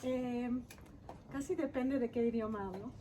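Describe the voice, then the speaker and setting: A woman author from Israel with an Israeli accent, talking casually in an interview and pausing to search for words. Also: a little high, mellow. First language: Hebrew. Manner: halting, searching for words in Spanish. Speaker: author guest. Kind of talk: conversational interview. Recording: noisy background.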